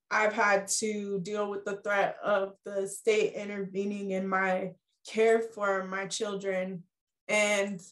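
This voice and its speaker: A woman speaking as a parent on a panel, slow and deliberate. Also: medium pitch. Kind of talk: casual panel talk. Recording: roomy echo.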